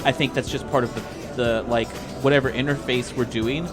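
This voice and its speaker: A man who talks in a rambling, excitable, profane way as a player in a casual tabletop role-playing game. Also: mid-range voice, normal speed. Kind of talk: casual improvised tabletop role-play chat. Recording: music behind the voice. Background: music.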